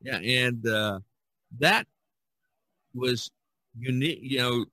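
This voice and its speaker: A man's voice: mid-range voice, takes it slowly, sharp tone, no room sound. A man hosting a casual podcast discussion, rambling and often searching for his words. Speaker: podcast host. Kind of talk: casual discussion of art history.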